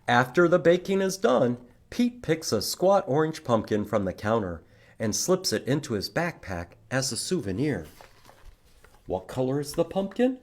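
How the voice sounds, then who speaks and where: A man's voice: medium pitch, very animated, moderate pace. A man hosting a library storytime for young children, reading aloud in an exaggerated, sing-song way and prompting with questions. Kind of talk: children's storytime read-aloud with counting songs.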